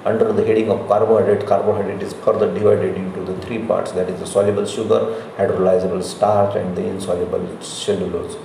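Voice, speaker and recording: A middle-aged man with an Indian accent giving a slide lecture as a college professor, speaking in halting, repetitive phrases. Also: sits low, flat delivery, creaky. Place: indoor room, recorded lecture. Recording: very echoey room, faint noise.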